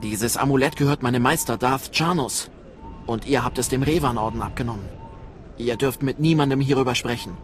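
An actor playing a scheming Sith agent in a game, talking in a sardonic, clipped, commanding way. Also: male voice, medium pitch, fairly quick, a little hiss or hum, natural voice. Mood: urgent, guarded. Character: shrewd, scheming adult male Sith agent. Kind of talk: dramatic game voice acting.

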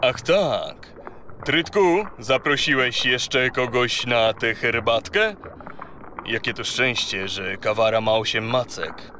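A man's voice: mid-range voice, slow pace, sharp tone, a little hiss or hum. A male actor playing a proud, imperious yet gracious sea king in a children's audio play, with a theatrical, courtly manner. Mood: courtly, curious.

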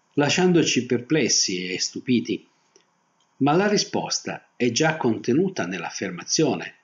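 An older man preaching a sermon as a pastor, phrasing deliberately with pauses. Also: mid-range voice. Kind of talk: Protestant sermon. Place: small indoor room, seated at a desk. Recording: dull, muffled webcam audio.

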